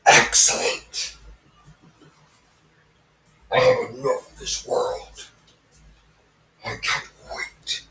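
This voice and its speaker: A man voicing a cold, impatient female villain from a fan fiction story, with slow, menacing delivery. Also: bright, forceful tone, slight background noise. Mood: cold scheming. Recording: roomy echo, distant mic.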